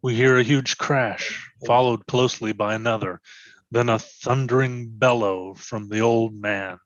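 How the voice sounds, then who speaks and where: A man's voice: medium pitch, fairly even intonation, unhurried, dry recording. A man reading stage directions as dramatic scripted narration for a readers theatre. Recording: dull, lo-fi sound.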